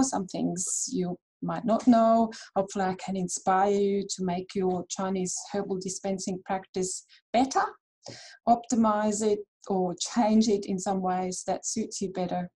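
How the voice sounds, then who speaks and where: Woman webinar presenter from Switzerland, warm low-key timbre, friendly and chatty with restarts. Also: rough recording. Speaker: herbal dispensary founder presenting a webinar.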